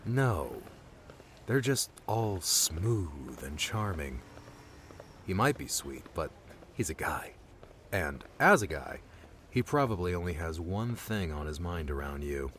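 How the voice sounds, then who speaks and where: A man playing a touchy, guarded young guardian in an audiobook, with an exasperated, halting delivery. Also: low voice, sing-song, fairly bright tone, slight background noise, exaggerated voice. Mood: defensive. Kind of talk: audiobook character dialogue.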